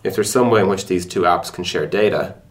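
A man's voice: sits low, brisk. A man explaining things conversationally in a casual podcast interview, feeling his way toward words as he goes. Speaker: tech product executive being interviewed.

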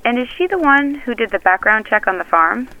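A woman's voice: fairly high pitch, expressive, fairly quick. An actress playing a brash, wry, tough young farm woman in an audio drama, blunt and teasing over a phone-call filter. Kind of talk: scripted audio drama, naturalistic phone dialogue. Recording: band-limited phone line effect.

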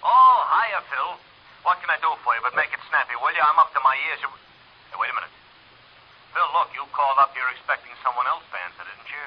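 An actor playing a hurried, gruff police lieutenant heard through a telephone filter in a 1940s radio drama. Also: male voice, a little high, animated. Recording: tinny phone line on old radio.

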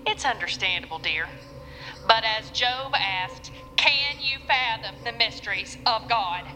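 A woman playing a gracious, devout Southern preacher's wife in an audio drama, speaking gently and formally. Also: a little high, animated, measured pace, in a large hall, soft background music, poor audio. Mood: serenely devout. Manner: gentle, formal, scripture-quoting.

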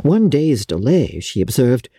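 Low, husky, mellow woman narrator. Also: dry recording, clean studio sound. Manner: measured, expressive storytelling. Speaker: audiobook narrator. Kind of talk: dramatic literary narration.

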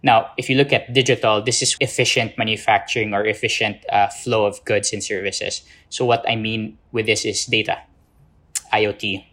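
A man's voice: fairly low pitch, flat delivery, moderate pace, a bit bright. A man from the Philippines giving explanatory answers as an interview guest. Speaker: interview guest, social entrepreneur.

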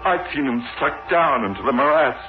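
An actor playing a haunted, guilt-ridden man narrating his dark premonitions haltingly and gravely, in 1960s radio horror style on a dull old recording. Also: medium pitch, lively intonation, soft background music, lightly acted. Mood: troubled. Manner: halting, grave, dramatic delivery. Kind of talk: 1960s radio horror drama acting, first-person narration.

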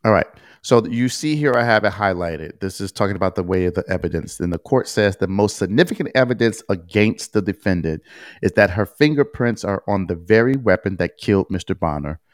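Man, podcast co-host, very low voice, blunt and plain-spoken, reads legal text aloud, dry close recording. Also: expressive, a little fast, no room sound. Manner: explains a court ruling at length, reads passages aloud, quizzes his co-host; blunt, with casual swearing. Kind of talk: casual legal discussion.

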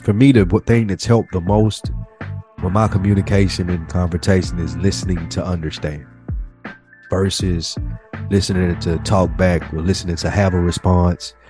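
A man's voice: sits low, fairly even intonation, normal speed, mellow, soft background music. A man co-hosting a podcast in casual, slangy conversation, telling stories and quoting people as he goes. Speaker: podcast co-host.

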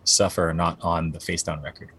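A man's voice: sits low, level tone, fairly quick, a bit bright, slightly rough. A man in his forties, a band singer, talking casually in an interview. He rambles and often restarts his sentences, with plenty of fillers. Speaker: guest musician, band singer.

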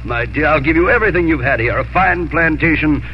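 An actor playing a proud, hot-headed young suitor and adventurer in a 1950s radio drama. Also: male voice, on the high side, lively intonation, a little fast. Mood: commanding, urgent. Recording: noisy, muffled old 1950s radio.

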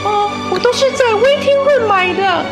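Spoiled schoolgirl daughter played by a woman for comic radio drama: extremely high, childish, wheedling, over loud music. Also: normal speed. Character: spoiled whiny schoolgirl daughter pleading with father.

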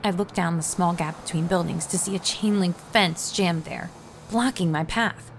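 A woman's voice: on the low side, normal speed, natural voice. An actress as an anxious woman, noisy backdrop. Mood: tense. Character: anxious ordinary woman, a frightened witness narrating her ordeal. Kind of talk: first-person audio drama narration and dialogue.

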